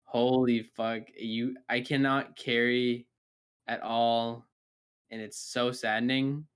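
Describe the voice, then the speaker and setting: A man's voice: medium pitch, level tone, slow, smooth. Rambling young man podcasting. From Canada. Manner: rambling, many fillers and restarts. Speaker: podcast co-host. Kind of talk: casual gaming chat.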